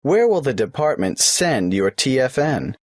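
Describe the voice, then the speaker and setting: A polite government clerk who takes an applicant through a form, asking his questions one by one in a patient, matter-of-fact way. His pitch rises and falls in a lively way, and the recording is dry and close. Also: unhurried, no echo. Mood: businesslike. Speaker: tax office clerk. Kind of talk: scripted exam listening dialogue.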